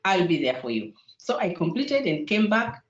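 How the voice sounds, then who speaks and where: A woman's voice: lowish voice, very animated, moderate pace, a little hiss or hum. Tanzanian woman on a roomy, dull call. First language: Sukuma. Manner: hesitant, many fillers and restarts. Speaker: panel guest, engineer. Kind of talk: online panel discussion. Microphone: video call.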